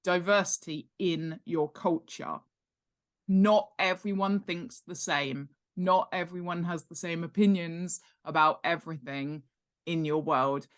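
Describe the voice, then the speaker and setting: A woman's voice: lowish voice, fairly even intonation, measured pace. A middle-aged British woman talking conversationally as an expert podcast guest, stressing points emphatically by repeating words. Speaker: guest, author and world-building expert. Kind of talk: conversational explanation in a podcast interview.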